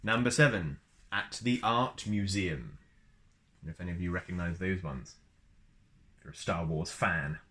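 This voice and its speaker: A man's voice: deep voice, moderate pace, long pauses, pushed and bright. A man reading a book aloud as a storyteller, chatty and often slipping into casual asides. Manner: chatty, wanders into personal asides.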